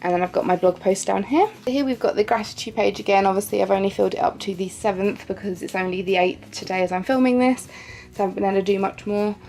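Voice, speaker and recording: A woman's voice: medium pitch, quick pace, a little mellow. A woman with a blocked nose and a nasal sound, chatting casually and poking fun at herself as she walks through her pages. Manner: chatty, self-deprecating, laughs lightly at herself. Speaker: vlogger.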